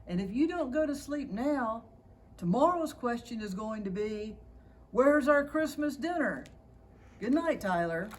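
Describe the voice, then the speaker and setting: A woman reading a storybook as a patient but gently weary mother at bedtime. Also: a little high, lively intonation, measured pace, voice pushed up.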